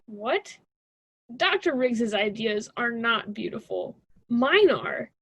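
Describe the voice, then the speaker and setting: A woman playing a high-voiced sarcastic college student. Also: big pitch swings, slow, creaky, a bit bright, breathy edge, no echo, heavily acted. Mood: exasperated. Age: young adult. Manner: sarcastic, dismissive. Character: stubborn, sarcastic young female college student. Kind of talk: conversational radio drama acting.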